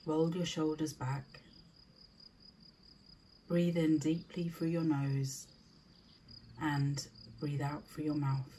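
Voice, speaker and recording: A woman leading a scripted guided meditation in a soothing, deliberate manner, with short spaced phrases. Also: low-pitched, flat delivery, mellow, a little hiss or hum.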